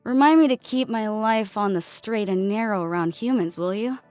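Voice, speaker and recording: A woman's voice: medium pitch, moderate pace, darkish tone, no echo, close to an everyday voice. A woman voicing a blunt, wry female alien soldier in an audio story. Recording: music under the voice.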